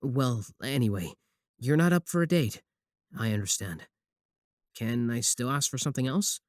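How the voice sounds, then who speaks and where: A man's voice: mid-range voice, expressive, moderate pace, dry recording, studio quality, lightly acted. A young man acting a possessive, obsessive student admirer in a scripted ASMR roleplay, sweet yet menacing. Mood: tender, concerned.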